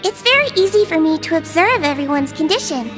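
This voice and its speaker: A woman voicing a small, sweet nonhuman nurse in a video game, childlike, gentle and politely caring. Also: very high voice, lively intonation, brisk, over music. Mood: patient, explaining. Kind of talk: animated video game dialogue.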